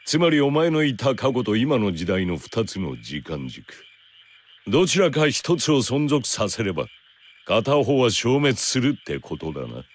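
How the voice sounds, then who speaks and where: An actor playing a gruff, curt bounty hunter in a Japanese anime game, speaking in blunt, rough words. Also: male voice, mid-range voice, sing-song, measured pace. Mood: grave, matter-of-fact.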